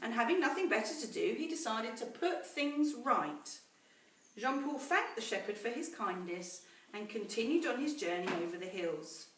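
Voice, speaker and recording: A woman reading a story aloud to children in a teacherly way, pausing to explain words and ask questions. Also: on the high side, animated, fairly bright tone. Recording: roomy echo, distant mic, faint noise.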